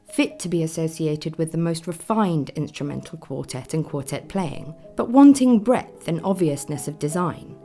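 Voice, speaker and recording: An actress voicing a formal Victorian newspaper critic, reading deliberately in a radio play. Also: female voice, sits low, warm tone. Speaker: reader of newspaper notices. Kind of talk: dramatised reading of 19th-century newspaper.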